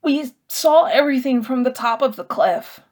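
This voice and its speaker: A woman voicing an anxious, earnest boy in an audiobook, speaking in a hushed way. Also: sits high, expressive, normal speed, pitched above the performer's own voice. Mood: grave, earnest. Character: anxious, earnest boy companion bearing grim news.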